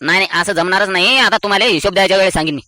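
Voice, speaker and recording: A man's voice: high voice, very fast, bright, forceful tone, a little hiss or hum. A man playing a touchy, quarrelsome uncle in a cartoon comedy, complaining indignantly with a rural Maharashtrian accent. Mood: angry, scolding.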